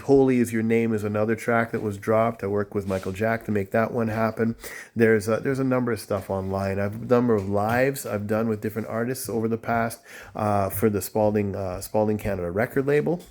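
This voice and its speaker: A man's voice: fairly low pitch, darkish tone. A man from Jamaica, talking in a rambling, digressive way as he chats to the camera in a casual vlog. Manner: rambling, digressive, self-promoting. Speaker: vlogger, music school owner.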